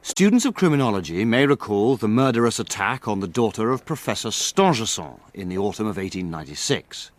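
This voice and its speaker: Literary narrator, medium pitch, expressive intonation, dry studio sound, urbane. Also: male voice, no room sound. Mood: reflective. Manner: measured, literary. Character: urbane gentleman narrator recalling his friend's cases. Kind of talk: dramatized story narration.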